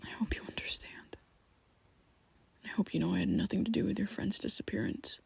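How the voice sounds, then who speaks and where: A man voicing a young male vampire best friend in an ASMR roleplay, whispering softly and haltingly as he confesses. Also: high-pitched, wildly swooping pitch, gravelly, husky, no room sound, exaggerated voice. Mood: hushed confessional. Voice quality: soft close whispering.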